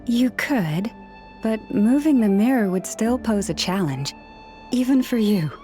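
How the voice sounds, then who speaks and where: A soft-spoken gentle animal whisperer. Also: female voice, fairly high pitch, animated, measured pace, music in the background. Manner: soft, patient, slightly wry. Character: gentle young woman who hears animals. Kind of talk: game character dialogue.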